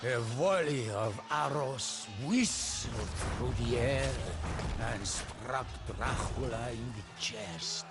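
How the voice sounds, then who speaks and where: An actor playing a solemn storyteller who recounts a medieval warlord's tale in dramatic game cutscene narration. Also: male voice, medium pitch, lively intonation, takes it slowly. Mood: dramatic, intense. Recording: music behind the voice.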